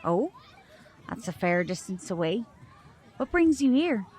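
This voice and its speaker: A woman's voice: mid-range voice, very animated, darkish tone, a little hiss or hum. Woman playing a gracious, guarded magician mother. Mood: gracious, probing. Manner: polite, measured, formal. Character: protective magician mother, gracious but sharply suspicious. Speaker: voice actor in an audio drama. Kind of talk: audio drama acting.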